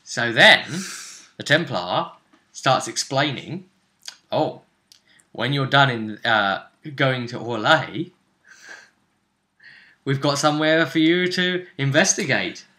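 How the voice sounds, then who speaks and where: A man telling the story of a tabletop role-playing session as a host, in a casual, halting way, acting out bits of dialogue as he goes. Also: mid-range voice, expressive, bright, forceful tone.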